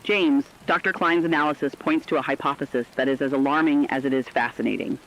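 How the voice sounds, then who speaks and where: A man's voice: medium pitch, fairly even intonation, fast, close to an everyday voice. A man playing a serious crisis correspondent in a radio drama, giving formal scripted analysis on a dull, lo-fi recording. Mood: serious, alarmed.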